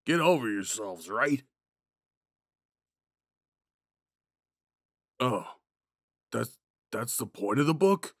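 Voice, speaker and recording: Man acting a slow-talking drunk himbo jock. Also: mid-range voice, very animated, no echo, studio quality. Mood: tipsy indignation. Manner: drunken, halting, goofy, rambling. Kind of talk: ASMR roleplay acting, speaking to the listener.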